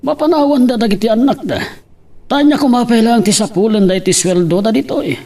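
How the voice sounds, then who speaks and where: A man's voice: extremely high pitch, brisk, dark tone. A man with a Filipino accent playing a caring older brother and family elder in an audio drama. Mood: reflective, concerned. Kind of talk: Ilocano radio-style drama acting.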